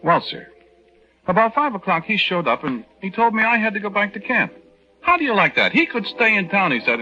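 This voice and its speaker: Folksy soldier on dull old radio. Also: male voice, medium pitch, bright, forceful tone. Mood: resentful. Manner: conversational, folksy, hesitant stammers. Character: aggrieved, folksy young soldier husband telling his uncanny story. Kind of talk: 1940s radio drama first-person narration.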